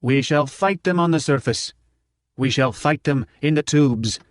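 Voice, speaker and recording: A man voicing a fiery old professor giving a revolutionary speech in an audiobook, declaiming with deliberate pauses. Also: mid-range voice, no echo, lightly acted. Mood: defiant, rousing.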